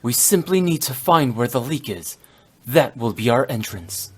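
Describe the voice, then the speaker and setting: A man voicing a stern, brooding, youthful immortal warrior in a video game, speaking in a grave, formal and terse manner with a husky voice. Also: mid-range voice, big pitch swings, normal speed. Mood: grave, resolute.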